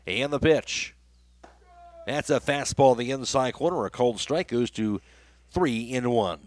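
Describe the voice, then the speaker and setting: Male radio sportscaster for baseball play-by-play and sponsor reads; mid-pitched voice, dry close sound. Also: measured pace, no room sound. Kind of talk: baseball play-by-play commentary with read sponsor spots. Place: live radio broadcast from a ballgame.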